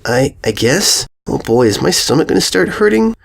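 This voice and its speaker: Timid little filly pony, slightly raised pitch, halting and apologetic, acted by a male narrator, for story narration. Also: big pitch swings, gravelly, heavily acted. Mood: hesitant. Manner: hesitant, stammering, apologetic.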